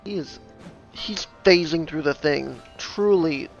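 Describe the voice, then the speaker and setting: A man's voice: on the high side, takes it slowly, vocal fry. A man giving casual playthrough commentary on a game, in offhand, brief interjections. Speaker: gamer reacting to the story. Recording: dull lo-fi mic, game music behind.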